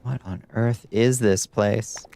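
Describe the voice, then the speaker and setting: A man's voice: on the low side, steady tone, takes it slowly, creaky, warm tone. A middle-aged man with a light Georgia twang, chatting casually and wryly with his viewers while he plays a game. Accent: light Southern US twang, Georgia. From United States. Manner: laid-back drawl, wry, talks to chat while playing. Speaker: streamer. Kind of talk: casual live gameplay chat.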